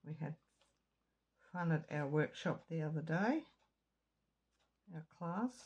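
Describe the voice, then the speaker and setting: A woman with a slightly croaky throat giving a chatty card-making tutorial, drifting into asides while she works. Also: low-pitched, expressive, slow pace, a little mellow, dull audio. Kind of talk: casual craft tutorial. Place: home craft room.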